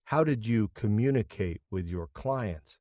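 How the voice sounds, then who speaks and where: Man interviewer, lower voice, lots of pitch movement, dry mic. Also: animated, a little slow, dark tone, no echo. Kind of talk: scripted dialogue for English learners.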